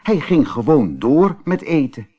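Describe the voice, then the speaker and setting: A man's voice: a little high, husky. A man reading a children's fairy tale expressively and without hurry, as a storyteller. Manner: expressive, unhurried read-aloud.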